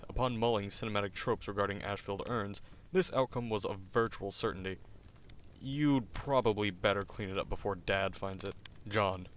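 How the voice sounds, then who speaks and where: A man's voice: on the low side, a bit bright, slight background noise. A man reading scripted comic narration in a wry, theatrical manner.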